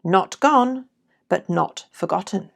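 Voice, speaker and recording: A woman reading old letters and adverts aloud in a deliberate, measured way, as a podcast host. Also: lowish voice, lively intonation, vocal fry.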